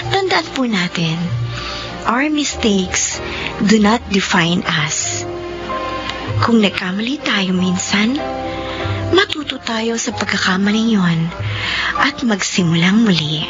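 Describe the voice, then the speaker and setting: A woman's voice: mid-range voice, expressive, slow pace, pauses often, highs cut off. A woman playing a wounded, earnest young single mother and student in an emotional, pleading radio drama. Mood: calm, resolved. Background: music.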